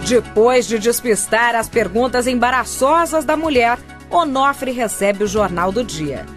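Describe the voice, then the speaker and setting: Casting: female radio soap narrator, fairly high pitch, scripted storytelling with faint music underneath. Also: expressive, measured pace.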